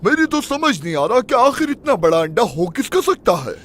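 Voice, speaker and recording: Panicky squeaky cartoon henchman, very high, loud music and babble behind. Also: very animated, a little fast. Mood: nervous. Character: frantic, cowardly male henchman. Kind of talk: Hindi cartoon dub acting.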